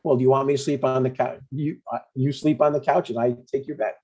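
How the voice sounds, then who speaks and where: Man playing a mellow, husky older dad. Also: mid-range voice, fairly even intonation, fast talker, highs cut off, close to an everyday voice. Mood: stubborn. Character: chatty, breezy, stubborn older father, a church deacon. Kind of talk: comedy script table read, naturalistic.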